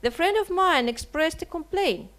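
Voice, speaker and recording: A woman's voice: very high voice, big pitch swings, a bit bright. Woman reading a statement. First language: Russian. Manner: measured, earnest, with rhetorical questions. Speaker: writer and translator. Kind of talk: reading a prepared seminar statement. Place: seminar hall.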